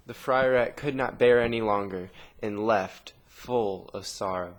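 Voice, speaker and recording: A man reading a scripted story narration with dramatic pauses, in a noisy amateur recording. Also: lowish voice.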